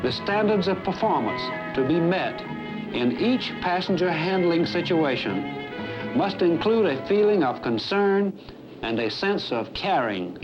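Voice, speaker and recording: An American man in his fifties giving a formal, scripted address as an airline executive, emphatic, earnest and deliberate. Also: on the high side. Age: 50s. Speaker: airline executive presenting a staff training film. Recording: dull, noisy 1970s film soundtrack.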